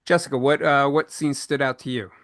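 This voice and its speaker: A man with a bright, forward voice hosts a panel conversation in a very dry sound. He is earnest and thoughtful, circling his points haltingly as he looks for words. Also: medium pitch, steady tone, no echo. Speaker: podcast co-host and panel moderator. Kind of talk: reflective panel discussion about books.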